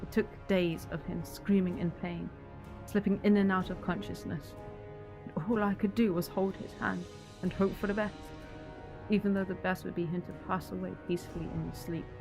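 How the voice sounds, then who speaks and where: A woman's voice: on the low side, fairly even intonation, normal speed, creaky. A woman acting in an audio drama as a grieving, bitter but resilient survivor, with intense emotional delivery. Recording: music behind the voice.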